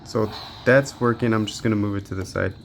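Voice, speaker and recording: A man's voice: on the low side, steady tone, a little fast, vocal fry, a little hiss or hum. A man giving casual gameplay commentary as a handheld gaming reviewer, informally thinking aloud as he tests. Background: video game music.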